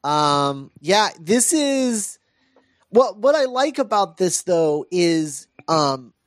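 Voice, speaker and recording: A man co-hosting a podcast in a casual film discussion, often restarting his phrases in a halting way. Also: high voice, sing-song, no room sound, studio quality. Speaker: podcast co-host.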